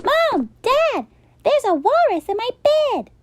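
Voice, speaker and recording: Storybook little boy, bright-eyed and insistent, very high and lively, as a woman reads him. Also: slow pace, dry recording, full character voice. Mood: pleading. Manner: high, eager, pleading.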